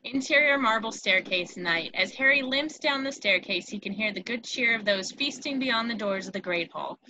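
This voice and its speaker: A woman's voice: on the high side, expressive, normal speed, bright, forceful tone, highs cut off, slight background noise. A woman narrating scripted stage directions for a screenplay read.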